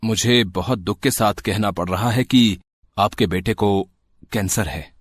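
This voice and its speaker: Guy doing a serious doctor in a moral story, calm n consoling, dry sound. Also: fairly low pitch, unhurried, breathy edge, dry recording. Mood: grave. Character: grave, calm male hospital doctor delivering bad news. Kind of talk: amateur moral-story character acting.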